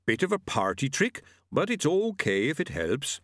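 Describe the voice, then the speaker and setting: A man voicing a huge, good-natured male yeti who talks in an unbothered way with a booming chuckle. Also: huge pitch swings, normal speed, a bit bright, no echo, studio quality. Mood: placid.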